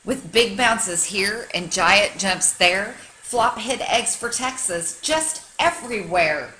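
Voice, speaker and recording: A woman reading a children's picture book aloud like a teacher, with expressive, dramatic storytelling for young listeners. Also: mid-range voice, slow, slight background noise, poor audio.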